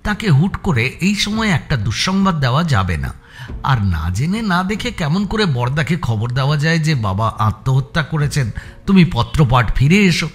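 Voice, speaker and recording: A man narrates a scripted suspense story in a measured storytelling manner. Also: a little high. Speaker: audio story narrator and channel presenter.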